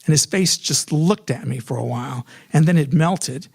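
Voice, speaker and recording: Male live storyteller. Highish gravelly voice, theatrical comic timing, very animated. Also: normal speed, a little hiss or hum. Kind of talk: live comedic personal storytelling.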